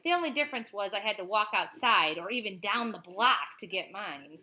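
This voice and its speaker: A woman's voice: mid-range voice, expressive, brisk, pushed and bright, slight background noise. A woman teacher reading a picture book aloud expressively, with informal explanatory asides to children.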